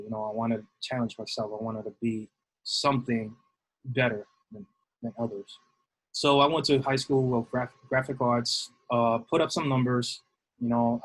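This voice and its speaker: Husky new guy, middle-aged, rambly with lots of ums. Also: mid-range voice, low-quality recording. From New York City. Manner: rambling, many fillers and restarts. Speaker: guest, assistant baseball coach. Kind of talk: casual interview answers.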